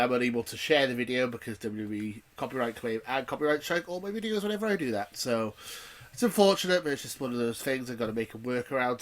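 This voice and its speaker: A man with a head cold hosts his reaction show, his voice blocked up and nasal. He rambles in a chatty, joking way, thinking out loud with plenty of fillers, at an ordinary middle pitch. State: has a cold, sounds nasal. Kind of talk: casual watch-along commentary.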